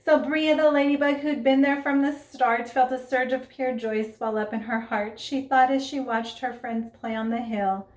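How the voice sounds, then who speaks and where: A woman reading a children's story aloud in an expressive, dramatic way, with asides and questions to young listeners. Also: sits high, normal speed, hardly any pauses. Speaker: storybook reader. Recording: roomy, echoing sound.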